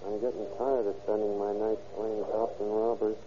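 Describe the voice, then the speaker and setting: An actor playing a jittery but loyal police sidekick with an American accent and slangy speech in an old radio crime drama, on a muffled, noisy recording. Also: male voice, on the low side, little pitch movement, moderate pace, lightly acted. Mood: uneasy, impatient.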